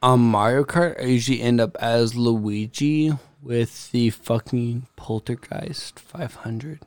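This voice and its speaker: A man's voice: medium pitch, steady tone, slow pace, no echo. A drunk young man, a guest on a casual podcast, rambling and swearing a lot as he chats.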